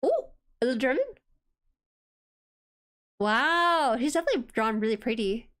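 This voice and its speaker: A woman with a soft voice chatting casually and reacting excitably as she hosts an anime watchalong stream. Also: a little high, moderate pace.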